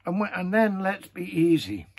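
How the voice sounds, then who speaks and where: A man's voice: sits high, gravelly, pitched above the performer's own voice, heavily acted. A man doing a teasing, playful young hobbit. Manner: teasing, lively, quick asides. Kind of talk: reading a novel aloud.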